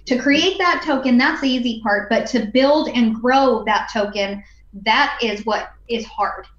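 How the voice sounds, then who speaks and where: A woman's voice: on the high side, unhurried, fairly bright tone. A woman in her thirties co-hosting a casual talk show from a room at home over a video call. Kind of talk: casual conversational interviewing. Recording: echoey, dull video-call audio.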